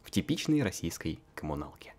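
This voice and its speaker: A man's voice: sits low, big pitch swings, a little fast, a little mellow. A man narrating a fan-voiced visual novel in a joking, mocking way, full of crude slang and frequent laughter.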